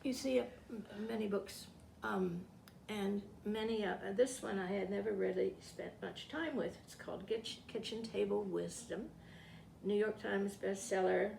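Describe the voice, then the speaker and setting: An elderly woman with a creaky voice, speaking in a halting, informal way as she presents to a group. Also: medium pitch, darkish tone. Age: 70s or older. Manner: halting, rambling, self-interrupting. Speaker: retired teacher and read-aloud presenter. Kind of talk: informal talk and reading aloud to a group. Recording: distant mic, echoey room, faint noise.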